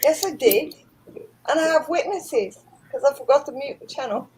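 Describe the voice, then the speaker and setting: A middle-aged woman with a brisk, motherly manner, talking casually on a group call. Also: high voice, lively intonation, a little mellow, slight background noise, poor audio.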